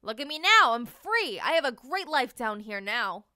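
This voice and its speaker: Woman doing a raspy, gruff shriveled raisin guy. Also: high-pitched, very animated, moderate pace, pushed and bright, no room sound, studio quality, exaggerated voice. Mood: boastful. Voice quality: raspy, coughing. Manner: gruff, slangy, taunting. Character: shriveled old rebel raisin guy, boastful drifter.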